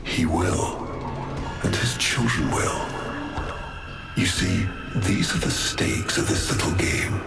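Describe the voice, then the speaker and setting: An actor playing a haughty, coldly deliberate nobleman villain in a game cutscene, with formal, theatrical delivery. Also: low voice, gravelly, heavily acted. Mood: cold menace. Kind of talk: dramatic game cutscene acting. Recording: loud music under the voice.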